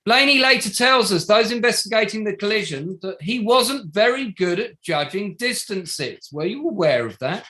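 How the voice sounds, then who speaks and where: A man playing a pointed, methodical barrister in a courtroom drama table read, cross-examining formally and deliberately with leading questions. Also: high-pitched, expressive, sharp tone. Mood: pointed, critical. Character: pointed, methodical male barrister for bereaved families.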